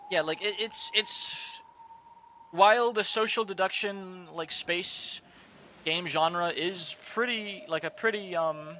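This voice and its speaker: A man's voice: sits high, slow, bright, forceful tone, slight background noise, low-quality recording. A man streaming live, chatting casually and rambling with lots of fillers and restarts.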